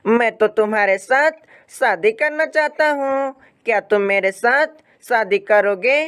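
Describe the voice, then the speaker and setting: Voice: medium pitch, a little slow, a bit bright, clear, resonant tone, natural voice. A narrator voicing a lovesick, insistent young female bird in a cartoon story, with a pleading manner.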